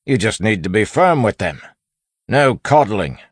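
A man voicing a cocky, brash lawman who talks in a forceful, folksy, bullying way, as in an audiobook. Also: fairly low pitch, very animated, a little slow, breathy edge, no echo, pitched above the performer's own voice, exaggerated voice. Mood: brash, firm. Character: cocky, brash lawman bluffing in a stern constable voice. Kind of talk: audiobook character acting.

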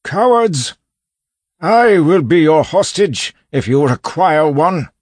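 A man's voice: on the high side, big pitch swings, slow, darkish tone, breathy edge, dry recording, voice pushed up, exaggerated voice. A man voicing a proud, indignant aging lord and former constable who bellows defiantly, in audiobook style. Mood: outraged, defiant. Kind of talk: audiobook character acting.

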